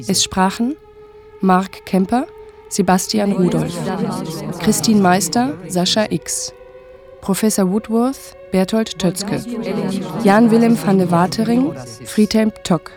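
Female radio announcer, mid-pitched, very unhurried credit reading, music clearly behind. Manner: measured, formal. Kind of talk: scripted radio drama credits.

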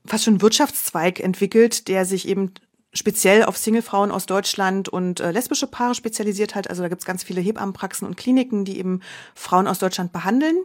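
A woman from Berlin in her forties shares her story as an interview guest, thoughtful and candid. She circles back and restarts sentences as she gathers her thoughts, in a voice of ordinary middle pitch. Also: brisk. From Germany, Berlin. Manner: reflective, candid, with frequent restarts. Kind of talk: personal interview.

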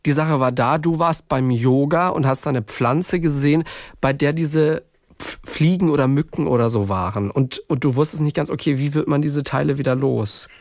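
A man's voice: fairly high pitch, moderate pace, a little mellow, no echo, clean studio sound. A man talking informally and conversationally as a podcast co-host. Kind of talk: casual chat between co-hosts.

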